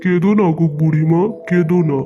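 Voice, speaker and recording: A man's voice: on the high side, level tone, brisk, lo-fi sound, faint music behind, lightly acted. A man voicing a poor, kind-hearted farmer and father in a cartoon, earnest and plaintive. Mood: anguished, kind.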